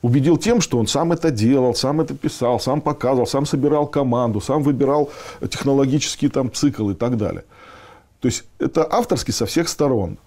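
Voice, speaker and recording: A man's voice: medium pitch, brisk, a little mellow. A man in his fifties answering interview questions conversationally, repeating phrases for emphasis and acting out quotes. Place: furnished office, seated at a table.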